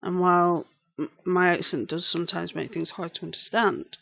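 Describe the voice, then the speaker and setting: A woman's voice: lowish voice, little pitch movement, moderate pace, gravelly, no echo, dull audio. A woman in her thirties with a broad Lancashire accent, chatting casually on a live stream, greeting viewers and repeating herself as she rambles. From England. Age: 30s.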